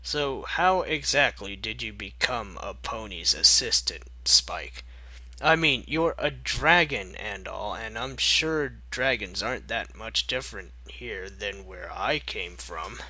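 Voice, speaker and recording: A guarded fighting man from a fantasy story, as a male reader plays him. He speaks slowly and deliberately, with a forceful, bright edge. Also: lowish voice, expressive, dry recording, voice pushed down, full character voice, low-quality recording. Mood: awkward, curious. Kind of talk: fan fiction character reading.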